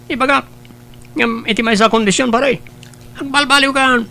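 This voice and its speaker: Very high, creaky-voiced chummy buddy man acting. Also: steady tone, normal speed, pushed and bright, heavily acted. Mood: chummy, advising. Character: chummy, persuasive young male matchmaking buddy. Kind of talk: Ilocano radio-style audio drama acting.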